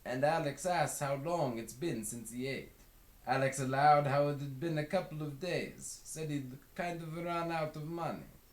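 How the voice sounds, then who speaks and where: Man putting on a folksy, higher-voiced storytelling farm boss. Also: moderate pace, natural voice. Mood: reminiscing. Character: middle-aged Midwestern grain elevator owner, folksy, chatty. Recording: roomy, echoing home recording.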